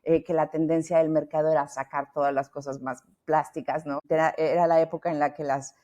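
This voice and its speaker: A woman's voice: low-pitched, brisk. A middle-aged woman from Mexico with a Mexican accent, talking freely as a guest on a podcast, often restarting her sentences. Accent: Mexican Spanish. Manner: lively, talkative, many restarts. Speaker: founder and guest. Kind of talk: podcast interview.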